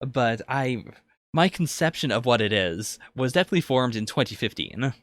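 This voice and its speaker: A man's voice: medium pitch, lively intonation, normal speed, a bit bright, no echo. A man co-hosting a podcast in a casual review discussion, with a hesitant, rambling way of phrasing things. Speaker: podcast co-host.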